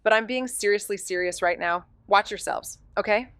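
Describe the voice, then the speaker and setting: An actress plays a young female amateur detective in a neo-noir audio drama. Her voice is smooth and clear, recorded close and dry. She is wry and deadpan, the joker of her team. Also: medium pitch, moderate pace, dry recording, natural voice. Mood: guarded, earnest.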